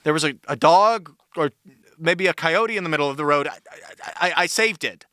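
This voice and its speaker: An actor playing a nervous, affable sidekick in an audio drama, hesitant and rambling, with a wry edge. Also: male voice, fairly high pitch, moderate pace, bright, forceful tone, clean tone, dry recording. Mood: sheepish.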